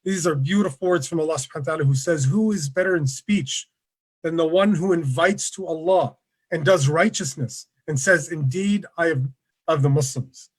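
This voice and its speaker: A man presenting an online workshop over a video call, relaxed and conversational, with many fillers. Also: fairly high pitch, moderate pace, husky. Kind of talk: casual online lecture.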